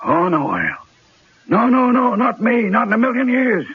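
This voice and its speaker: An actor playing a wary, reluctant gangster henchman in a radio drama, raspy and colloquial with street-tough diction. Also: very high-pitched, sing-song, normal speed, full character voice. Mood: alarmed, refusing. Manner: cautious, colloquial. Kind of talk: 1970s radio drama acting. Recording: thin, tinny old radio broadcast.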